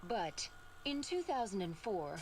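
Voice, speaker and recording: An actress playing a composed, serious television news reporter in a video game, delivering a scripted report in a formal, measured way. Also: female voice, medium pitch, sing-song. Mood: grave, formal. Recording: noisy game audio under other voices.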